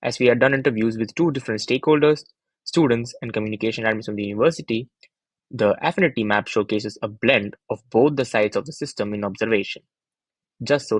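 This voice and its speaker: A man's voice: fairly low pitch, no echo. A young adult man reading a scripted research presentation as a student narrator, in an orderly, explanatory manner.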